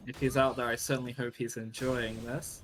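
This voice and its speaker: A man's voice: medium pitch, little pitch movement, a little fast, noisy background. A man casting a live online game match, talking casually with many fillers and a wry, joking edge. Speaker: esports caster.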